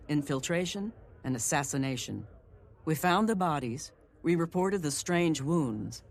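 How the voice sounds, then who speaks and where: An actor playing a composed, secretive agent of a covert order in a fantasy video game, formal and clipped. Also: male voice, a little high, lightly acted.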